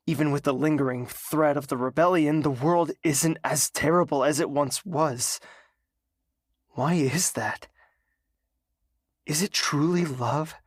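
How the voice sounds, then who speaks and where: A man playing a brooding, lovesick king with a volatile temper, delivering a reflective, halting monologue in an audio drama. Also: medium pitch, no room sound, clean studio sound. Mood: contemplative pride.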